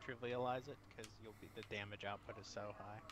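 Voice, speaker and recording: A man's voice: lowish voice, fairly even intonation, fairly quick. A man in a gaming party chat, making blunt, short remarks. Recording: noisy voice-chat line.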